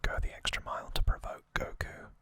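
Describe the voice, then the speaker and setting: A man whispering a casual ASMR recap in short, hushed, unhurried phrases. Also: dry recording. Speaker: ASMR host.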